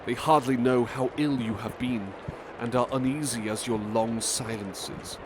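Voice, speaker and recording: A man's voice: measured pace, close to an everyday voice. A man voicing a caring young male friend who pleads in a raised voice over street noise. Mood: gentle. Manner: pleading, high-pitched.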